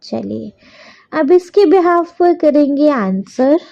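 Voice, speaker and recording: A woman's voice: sits high, expressive, takes it slowly, creaky, highs cut off. A woman schoolteacher with an Indian accent giving a question-and-answer lesson, didactic, repeating sentences as dictation and checking that pupils follow. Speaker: school English teacher. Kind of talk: textbook question-and-answer lesson in Hindi and English.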